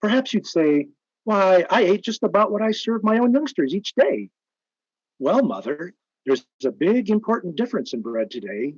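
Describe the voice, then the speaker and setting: A man's voice: sits high, very animated, moderate pace, husky, no echo. A man announcing and advertising in old-time radio style, with an emphatic, persuasive, polished delivery. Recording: dull, band-limited old-time radio sound.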